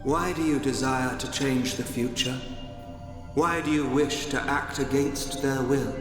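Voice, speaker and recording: A cryptic, all-knowing video game spirit voiced by a man, calm and unhurried. His mid-pitched voice rings out in a long reverb over music. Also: close to an everyday voice. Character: mysterious, all-knowing male spirit guide in a vision. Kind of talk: dramatic video game cutscene acting.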